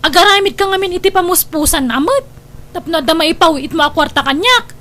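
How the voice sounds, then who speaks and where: Woman acting a high-voiced, demanding young wife. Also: lively intonation, a little fast, sharp tone, exaggerated voice. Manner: insistent, complaining. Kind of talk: family radio drama acting.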